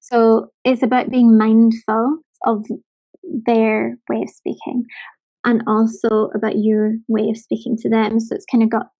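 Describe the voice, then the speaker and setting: A woman's voice: a little high, level tone, measured pace, creaky, dark tone, lo-fi sound. A woman from Scotland with a light Scottish accent, giving thoughtful practical advice in a casual conversation.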